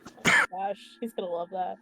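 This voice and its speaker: A woman's voice: mid-range voice, steady tone, a little fast, sharp tone, a little hiss or hum. A woman chatting casually as a player in a tabletop roleplaying game.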